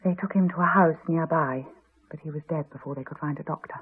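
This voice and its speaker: A haunted woman in an eighties radio drama, heard through a narrow, phone-like archive recording. Her voice is husky, and she confides gravely in measured phrases with quiet intensity. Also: low-pitched. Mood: sombre, haunted. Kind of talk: 1980s radio drama acting.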